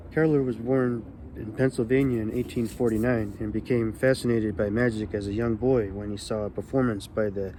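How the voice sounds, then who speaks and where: A man hosting his own channel, reading magic history from a book aloud in a calm, relaxed manner. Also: mid-range voice, a little hiss or hum, rough recording. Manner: calm, relaxed delivery meant to soothe. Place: California.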